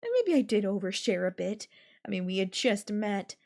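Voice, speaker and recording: A woman playing an obsessive, possessive girlfriend in an intimate audio roleplay, sweet and coaxing with a menacing edge and lingering pauses. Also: medium pitch, very animated, creaky, darkish tone. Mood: confiding, nostalgic.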